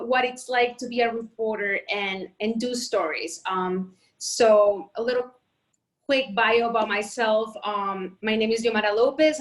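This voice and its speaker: A woman's voice: a little high, low-quality recording. A woman reporter from Colombia, raised in Miami, talking in a friendly, chatty way over a phone on a video call. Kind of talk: casual talk.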